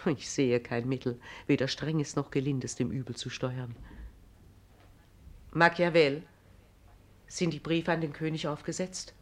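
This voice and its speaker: A woman's voice: low voice, fairly even intonation, a little slow, pauses often. An actress playing a troubled, proud noblewoman regent in a 1940s German radio play, with formal, declamatory diction. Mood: brooding, troubled.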